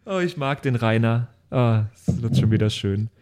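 A man hosts a role-play podcast in a loose, joking style. His voice sits at a medium pitch and he talks like he is among friends. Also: animated, normal speed, a little mellow. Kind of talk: casual joking chat.

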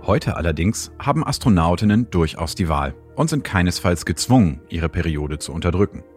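A man's voice: sits low, expressive, a little fast, a little mellow. A man reading scripted documentary narration as the host. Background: background music.

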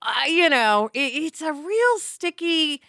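Bright-voiced woman from New York City. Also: high-pitched, slow pace, no echo, studio quality. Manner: animated, opinionated, jumps in. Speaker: podcast co-host. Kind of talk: casual discussion.

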